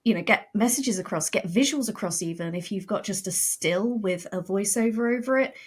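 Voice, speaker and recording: A woman's voice: medium pitch, a little fast, husky. A woman speaking as a guest on a podcast, talking in a thoughtful, conversational way with frequent fillers. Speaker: creative director and game writer, guest. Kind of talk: casual podcast interview.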